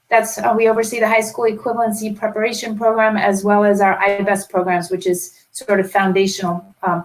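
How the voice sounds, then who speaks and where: A woman with a slightly high voice speaks as a panelist on a video call. Her delivery is informal and conversational, like a professional introduction. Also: poor audio. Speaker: panelist, adult education program manager.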